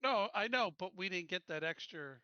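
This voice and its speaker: A man's voice: sits high, expressive, moderate pace, sharp tone, smooth, no room sound, lo-fi sound. A man chatting casually as a player in a tabletop roleplaying game. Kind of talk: casual chat.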